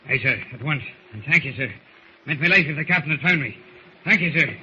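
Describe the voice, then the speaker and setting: A man's voice: on the high side, animated, fairly quick, bright, forceful tone. A humble helmsman from an old radio serial, nervous and eager to please, stumbling when pressed. The sound is dull, with slight background noise. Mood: flustered, grateful. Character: deferential, nervous male helmsman, a deckhand. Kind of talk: 1940s radio acting. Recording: muffled, noisy old radio recording.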